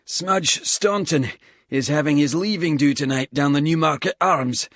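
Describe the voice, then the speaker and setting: Male narrator doing a blunt, sweary soldier, winded, husky, louder than the narration. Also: a little high, unhurried, no echo, clean studio sound, pitched above the performer's own voice. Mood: mocking banter. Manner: blunt, sweary, breathless between words. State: out of breath.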